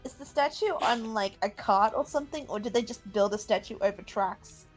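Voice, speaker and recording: A woman playing a tabletop role-playing game, chatty and quick to laugh. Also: fairly high pitch, faint music behind, poor audio. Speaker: player. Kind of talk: casual play over voice chat.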